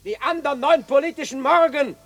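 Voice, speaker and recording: A man's voice: very high-pitched, fairly quick, a bit bright. An actor playing a harsh male prison sergeant in a radio play, barking out clipped commands. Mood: barking orders. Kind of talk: 1970 German radio play acting.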